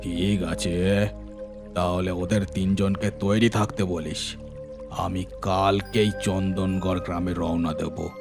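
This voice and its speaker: A man voicing a grim, brooding bandit gang chief in an animated horror story, measured and commanding. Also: low-pitched, creaky, exaggerated voice. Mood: firm, resolute. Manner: measured, authoritative. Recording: music bed behind voice.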